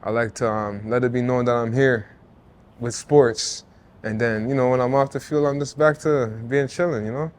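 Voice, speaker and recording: A man in his thirties with a voice of middling pitch. He talks as an interview guest in a relaxed, earnest, conversational way. He often drops in "you know" and "like" and restarts his phrases. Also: normal speed. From Jamaica, raised in Toronto. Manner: laid-back and earnest, with frequent "you know" and "like" and some restarts. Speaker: interview guest, former football player and artist. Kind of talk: casual reflective interview talk.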